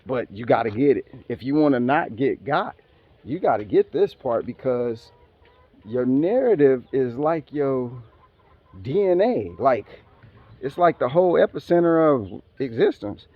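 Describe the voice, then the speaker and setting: A man's voice: medium pitch, no echo, dull audio. A man giving a rambling casual monologue outdoors, full of street slang and asides. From Philippines heritage, raised elsewhere. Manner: rambling, streetwise slang, talks back to animals. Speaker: vlogger.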